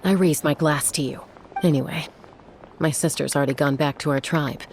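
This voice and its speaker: A woman's voice: sits low, steady tone, moderate pace, a little hiss or hum. An actress voicing a cool, caring female warrior in a fantasy game, with a laid-back, sober delivery. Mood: sober, warm.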